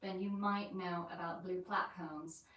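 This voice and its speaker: Woman vlogger, American with a touch of Yorkshire, rambling and casual, lowish voice, heard from a little way off in a booming room. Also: fairly even intonation, moderate pace, fairly bright tone. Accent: American mixed with light Yorkshire. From England and the United States. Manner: chatty and rambling, with many fillers. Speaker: vlogger hosting a live stream. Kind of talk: casual live-stream chat. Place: indoors in an old house. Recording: very echoey room, mic at a distance.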